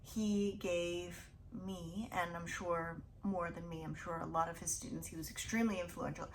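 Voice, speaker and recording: A woman's voice: on the low side, fairly even intonation, normal speed, rough recording. Woman in her 30s rambling to camera about books, chatty, laughs at herself, faint noise behind. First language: English. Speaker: YouTube book channel host. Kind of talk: casual to-camera vlog chat.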